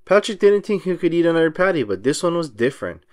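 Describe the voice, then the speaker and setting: A man reading a children's story aloud in an expressive narrating style, acting out the dialogue as he goes. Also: a little high, fast, no echo, studio quality. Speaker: storybook reader.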